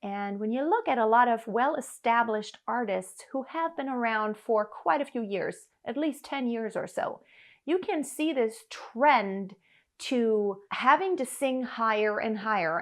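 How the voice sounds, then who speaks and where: A woman in her thirties from Germany, a vocal coach giving an earnest, encouraging singing lesson to camera in a living room. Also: on the high side. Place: living room in front of an upright piano.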